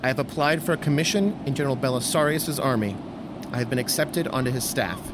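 A man's voice: medium pitch, quick pace, noisy background, lightly acted. An actor playing an earnest young Roman nobleman and officer in an audio drama, speaking with formal diction. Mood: resigned. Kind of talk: full-cast audio drama acting.

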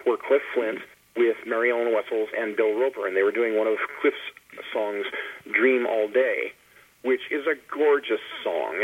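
Male panelist, husky, rambling and repetitive casual talker, heard down a thin phone line. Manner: rambling, repeats words as he goes. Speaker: panelist, long-time filk musician. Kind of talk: roundtable discussion, casual anecdotes. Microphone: telephone call. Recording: tinny phone line, husky low fidelity.

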